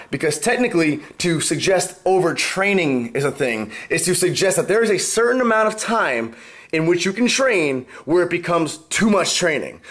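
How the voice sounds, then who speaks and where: A young man talking to his camera in a chatty vlog, his voice stuffed up and worn from a cold. He sits a little high for a man and walks through each step like he is explaining to friends. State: stuffed up from a cold, partly lost voice. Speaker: fitness vlogger. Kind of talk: casual vlog talk to camera.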